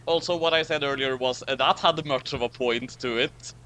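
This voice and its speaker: A man's voice: medium pitch, moderate pace, sharp tone, a little hiss or hum. A man giving casual, riffing commentary as a co-commentator on a story.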